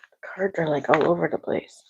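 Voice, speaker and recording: A soft-spoken woman reading tarot on a casual livestream at home, talking quietly to herself. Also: low voice, normal speed, gravelly, muffled recording, a little hiss or hum. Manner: talks to herself, quiet and musing. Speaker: tarot reader. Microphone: clip-on microphone.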